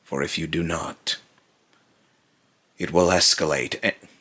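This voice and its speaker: Deep, raspy, ominous otherworldly being voiced by a man. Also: steady tone, pushed and bright, exaggerated voice. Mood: stern warning. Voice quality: raspy, breathy, lapsing into harsh whisper. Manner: slow, halting, repeats phrases, ominous and grave. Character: brooding otherworldly male being warning about cruel mortals. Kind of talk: dark dramatic monologue.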